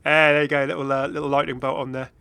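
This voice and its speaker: A man hosting a gaming video with casual, excited reaction commentary in a rambling, exclamatory way. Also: fairly high pitch, fast talker, gravelly, clear, resonant tone. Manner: rambling, exclamatory, chuckling asides.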